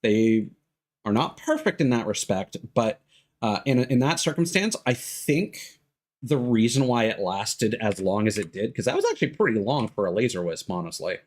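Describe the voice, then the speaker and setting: A man's voice: on the low side, very animated, moderate pace. A man giving casual, rambling, sweary commentary as he streams a video game. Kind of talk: casual gaming livestream commentary. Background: game music.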